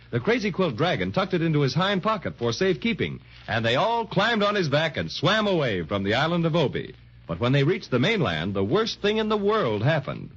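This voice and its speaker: A man's voice: a little high, a bit bright, husky. A man narrating a scripted children's radio serial in 1930s style, with avuncular, emphatic storytelling. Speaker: announcer and storyteller. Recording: muffled 1930s radio recording.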